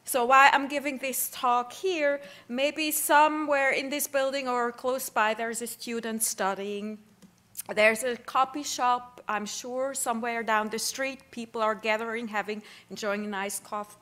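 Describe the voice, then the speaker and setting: A middle-aged woman speaks at a podium microphone in a wood-panelled lecture hall. Her voice sits a little high, and she explains in a friendly, conversational way, often restarting her phrases as she goes. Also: a bit bright. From Switzerland. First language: Swiss German. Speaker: keynote speaker. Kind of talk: conference lecture.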